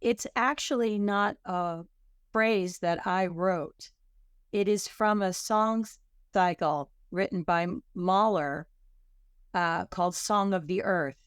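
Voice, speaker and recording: A woman being interviewed as a guest author, talking thoughtfully and often pausing to restart a sentence. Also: on the low side, smooth, no room sound, clean studio sound. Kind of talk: casual interview talk.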